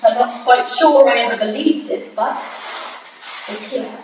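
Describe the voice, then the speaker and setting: A woman playing a sharp, indignant, proud young Victorian society wife in a staged reading. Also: on the high side, slow. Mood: indignant, forceful. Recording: echoey hall, distant mic, hissy noise.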